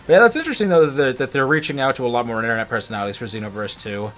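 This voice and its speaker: A man's voice: mid-range voice, very fast, a bit bright. Male let's play co-host, casual and wordy, repeats and restarts words, game music underneath. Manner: rambling, stammering with repeated words. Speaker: gaming video co-host. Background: video game music.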